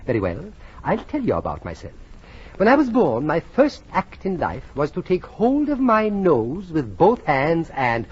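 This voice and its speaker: An actor playing a vain, fussy self-styled great man who tells his own life story in halting, theatrical 1940s radio comedy style. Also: on the high side, huge pitch swings, slightly rough, full character voice. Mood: pompous, hesitant. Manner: pompous, halting, theatrical delivery. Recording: muffled old 1940s radio recording.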